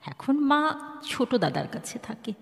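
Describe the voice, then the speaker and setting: A high voice rings in long reverb as a woman acts out a despairing, proud wife who feels utterly alone. She has a Bengali accent and a wounded, defensive, halting delivery that swings widely in pitch, as in radio drama. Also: big pitch swings, creaky, full character voice. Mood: sad, subdued. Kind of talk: Bengali audio drama acting. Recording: reverberant hall-like echo.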